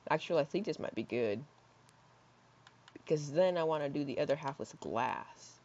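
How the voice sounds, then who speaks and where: Young man, hoarse, teens, casual gameplay commentary, rambling with fillers, dull lo-fi mic, keyboard clicks. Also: a little high, lively intonation, moderate pace. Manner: rambling, filler-heavy, drifts into soft mutters. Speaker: gaming YouTuber.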